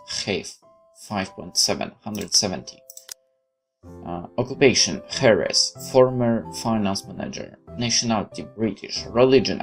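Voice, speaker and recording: Male let's player, deep voice, reads story text aloud and breaks off into sweary commentary, music underneath. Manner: stumbles over read text, exasperated swearing asides. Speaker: gaming video host. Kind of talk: let's play, reading visual novel text aloud with reactions.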